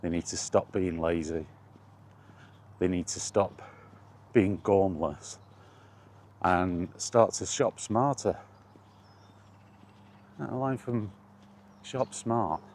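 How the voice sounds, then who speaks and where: A man's voice: deep voice, takes it slowly, long pauses, a little mellow, a little hiss or hum. A British man giving a rambling, casual vlog chat in a blunt, opinionated manner. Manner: opinionated, blunt, mildly sweary. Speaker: video blogger.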